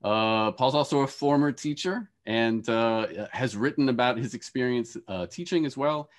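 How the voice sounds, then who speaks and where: A man's voice: medium pitch, moderate pace. A man in his forties hosts an online panel with a mid-range voice. He phrases things hesitantly and repeats words as he introduces speakers. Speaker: host and moderator, professor. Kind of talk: hosting a panel introduction on a video call. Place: indoor room with shelves, video call.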